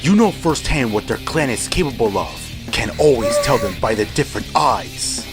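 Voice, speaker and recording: A man's voice: mid-range voice, sing-song, normal speed. Stern, menacing hedgehog guardian acted by a man. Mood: angry, threatening. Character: hostile, threatening male hedgehog guardian, distrustful and brusque. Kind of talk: comic dub voice acting. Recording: prominent background music.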